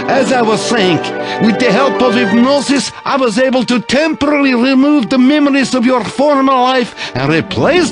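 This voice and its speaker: A man playing an eccentric doctor and hypnotist in a video game cutscene, soothing and deliberate. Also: very high-pitched. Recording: music behind the voice.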